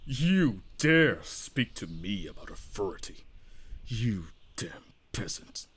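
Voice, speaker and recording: A man voicing a wrathful, possessive ancient dragon in an ASMR roleplay drama, making booming threats. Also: sing-song, slow, slightly rough, full character voice. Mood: furious.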